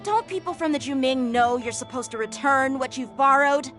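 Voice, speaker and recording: A woman's voice: high-pitched, normal speed, a bit bright, soft background music. An actress voicing an earnest, proud teenage boy swordsman in a video game, indignant and emphatic. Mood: irritated, proud.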